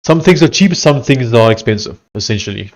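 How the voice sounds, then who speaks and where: A man's voice: medium pitch, expressive. A man from South Africa with an Afrikaans accent, chatting casually on a livestream and reading messages and text aloud. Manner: conversational, reads text aloud haltingly. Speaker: livestreaming coder.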